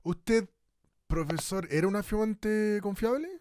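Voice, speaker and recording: A man playing a brisk radio host who introduces clips and questions his guest in a radio drama. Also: high voice, darkish tone, clear, resonant tone. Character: eager male radio host, brisk interviewer.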